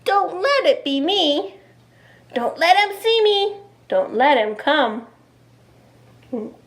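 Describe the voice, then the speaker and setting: A woman's voice: sits high, expressive, slow pace, pitched above the performer's own voice, full character voice. A woman voicing a frightened little boy in a children's storybook, with urgent, plaintive cries. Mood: pleading fear. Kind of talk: children's picture-book read-aloud.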